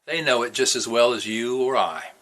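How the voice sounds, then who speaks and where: A man's voice: mid-range voice, normal speed, sharp tone, natural voice. A man voicing an earnest, confident farm boy who hunts with hounds, in a storybook reading.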